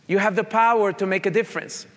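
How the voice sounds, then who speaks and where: A man with a Colombian accent and a slight Italian touch speaks earnestly to an audience. He tells a personal story in a fairly high voice. The sound carries a long hall reverb. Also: fairly even intonation, fast. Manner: earnest, direct, addresses audience. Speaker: motivational speaker. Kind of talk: motivational talk with personal story.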